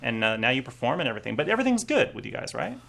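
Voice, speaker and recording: A man hosts a live studio interview, asking questions in a loose, chatty, teasing way. His voice has a lot of gravelly fry and a bright, forceful edge, at an ordinary pitch for a man. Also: big pitch swings, very fast. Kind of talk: casual interview chat.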